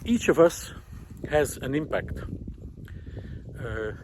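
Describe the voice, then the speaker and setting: A man giving an unscripted, reflective philosophical talk while walking, explaining ideas in a halting, thoughtful way with frequent pauses. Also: mid-range voice, sing-song, a little mellow, rough recording. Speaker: host of a walking talk series. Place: outdoors, walking on a country road. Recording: outdoor recording with clear background noise.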